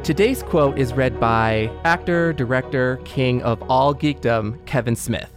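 A man's voice: medium pitch, unhurried, over music. A man co-hosting a podcast in a conversational style. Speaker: podcast co-host.

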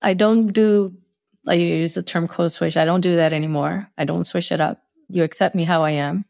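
A middle-aged woman who grew up speaking Spanish, answering interview questions in a frank, steady way. Her voice sits low and carries a gravelly texture. She laughs easily between thoughts. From Spanish-speaking household in the US. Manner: frank, measured, laughs often. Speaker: guest. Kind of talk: interview conversation.

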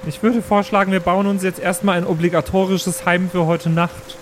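A man's voice: high-pitched, little pitch movement, normal speed, soft background music. A man playing a tabletop game, bantering casually in character with a blunt, teasing manner.

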